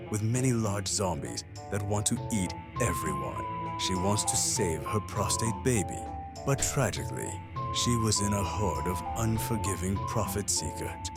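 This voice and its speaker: A man with a fairly low voice delivers a dramatic story reading as a guest narrator. Loud music plays behind him. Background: loud background music.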